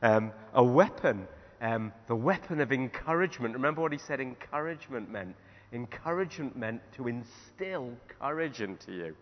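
A man, a pastor preaching a sermon in a croaky voice, often restarting his sentences. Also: mid-range voice, lively intonation, moderate pace. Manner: rambling with restarts. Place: church hall. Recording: dull sound in a reverberant hall.

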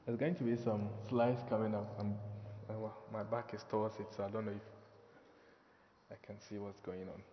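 A man's voice: fairly low pitch, little pitch movement, moderate pace, a little hiss or hum. A man in his forties with a Ghanaian accent, preaching a sermon in a friendly, conversational, self-deprecating way. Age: 40s. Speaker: pastor. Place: church hall. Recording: dull reverberant church hall.

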